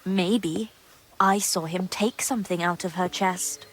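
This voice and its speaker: A woman's voice: lowish voice, steady tone, measured pace, a bit bright, poor audio. A woman playing a gossipy, guarded young monastery maid in a medieval video game drama, secretive and earthy in her talk. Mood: confiding. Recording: clear background ambient noise.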